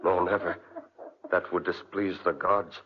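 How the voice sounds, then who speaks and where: A huge, servile henchman from a 1940s radio play. His voice is very low, his words simple and clipped. The vintage recording sounds muffled. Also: flat delivery, measured pace, a little hiss or hum. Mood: awed. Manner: simple-minded, clipped broken speech. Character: hulking, obedient, superstitious male brute servant. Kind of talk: 1940s radio drama acting.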